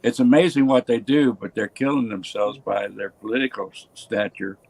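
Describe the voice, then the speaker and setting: A man's voice: fairly low pitch, fairly even intonation, normal speed, gravelly, darkish tone, husky. A man in casual group chat, recalling things in a reflective way.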